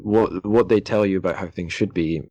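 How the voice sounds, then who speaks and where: Deep voiced guy, podcast host, kinda halting as he chats, really dry close sound. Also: a little mellow, slightly rough, no echo. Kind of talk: casual podcast interview.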